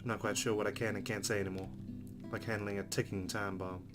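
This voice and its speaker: Male let's player, lowish pitch, reading visual novel prose aloud, clear guitar music in the background. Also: little pitch movement, fast. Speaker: let's play host. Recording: prominent guitar music behind the voice.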